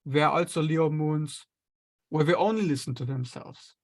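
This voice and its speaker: A man, an astrologer guest, explaining ideas in a relaxed conversational way, sometimes acting out inner voices. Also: fairly high pitch, moderate pace. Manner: explains with acted-out inner voices and light laughs.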